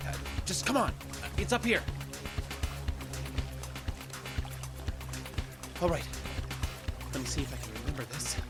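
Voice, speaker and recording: A man's voice: on the high side, sing-song, fairly quick, music in the background. An actor playing a nervy but resourceful young man in an audio drama, speaking in an eager, blurting, casual way. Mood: hurried, resourceful.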